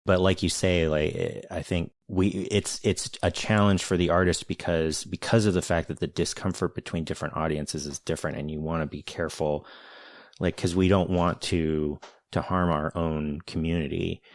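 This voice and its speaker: A man with a very low voice hosts a conversation, choosing his words carefully and circling back to rephrase. The sound is dry and close, with no room around it. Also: fairly even intonation, no room sound. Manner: measured, searching, many restarts and hedges. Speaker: podcast host, interviewer. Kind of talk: thoughtful conversational interview.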